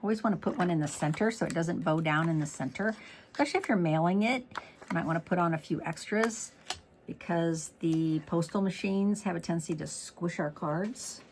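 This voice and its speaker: A middle-aged woman demonstrating card making in a chatty step-by-step tutorial, slightly hoarse as she is losing her voice. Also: fairly low pitch, normal speed, a little mellow, a little hiss or hum. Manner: chatty, walks through each step. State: losing her voice, throat clearing. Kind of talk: casual crafting tutorial.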